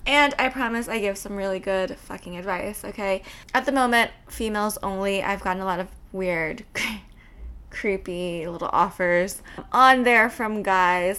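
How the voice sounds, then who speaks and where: A woman's voice: mid-range voice, a bit bright, smooth. A woman hosting her podcast, chatting casually with lots of filler words and the odd swear. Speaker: podcast host.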